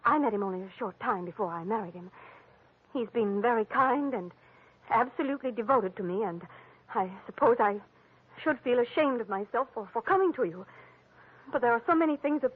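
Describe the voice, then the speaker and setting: An actress playing a cultivated, troubled young wife in a 1940s radio detective drama, speaking politely and hesitantly. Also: female voice, on the high side, a little fast, husky. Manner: genteel, hesitant, apologetic. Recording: old radio, tinny narrow band.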